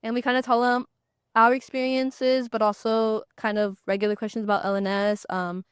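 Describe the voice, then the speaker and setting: Smooth-voiced young woman co-host. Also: fairly high pitch, flat delivery, normal speed, no echo, clean studio sound. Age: young adult. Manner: friendly, easygoing, light laughs. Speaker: podcast co-host, student ambassador. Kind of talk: casual chat.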